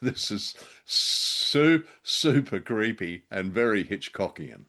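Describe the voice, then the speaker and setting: A man's voice: fairly low pitch, expressive, takes it slowly, pushed and bright. A man co-hosting a podcast, musing and a little hesitant as he casually reviews radio dramas.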